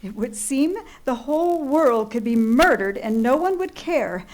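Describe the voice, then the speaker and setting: An actress playing an anxious, demanding bedridden wife in an old-time radio thriller, flustered and easily exasperated. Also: female voice, sits high, expressive, unhurried, a little mellow, rough recording. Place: live theatre stage radio play.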